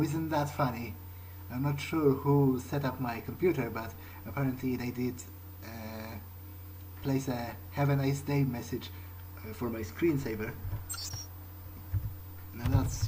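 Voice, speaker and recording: Guy playing an awkward protective vampire in a video game, halting and low key, noisy ambience behind him. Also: fairly even intonation, dark tone, natural voice. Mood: wry musing. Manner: halting, tentative, understated. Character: hesitant, awkward, protective male vampire, musing aloud. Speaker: gamer playing in character. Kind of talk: improvised in-character video game roleplay.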